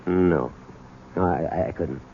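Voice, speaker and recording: An actor playing the bitter, unyielding son of an imprisoned robber in an old-time radio drama. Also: male voice, low-pitched, unhurried, phone-quality sound. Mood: reluctant, strained. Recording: thin, noisy old radio broadcast.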